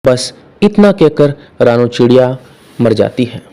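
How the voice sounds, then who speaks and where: A male narrator with a soft, dark timbre and a middling pitch. He reads a children's tale as scripted storytelling. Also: very animated, a little slow.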